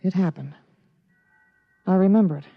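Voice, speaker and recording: A man's voice: sits high, moderate pace, gravelly, no room sound, lo-fi sound, soft background music. An actor playing a precocious thirteen-year-old boy with an adult's memories, matter-of-fact and articulate, in 1950s radio drama style. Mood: calm, certain. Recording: vintage radio broadcast.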